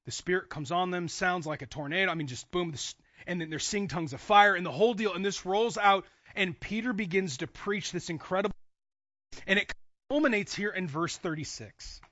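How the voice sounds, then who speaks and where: Male preacher, high-voiced and emphatic, delivering a sermon with acted-out dialogue; close, fairly dry but slightly muffled sound. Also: brisk, sharp tone, dry recording. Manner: emphatic, dramatizes imagined dialogue, repeats phrases. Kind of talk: sermon to a congregation.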